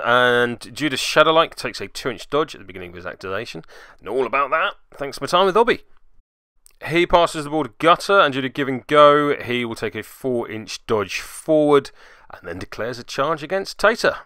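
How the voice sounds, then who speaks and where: Bright, clear-voiced male game commentator. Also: mid-range voice, no echo. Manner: jokey dry asides. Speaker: host and player narrating a tabletop game report. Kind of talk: casual play-by-play commentary voice-over.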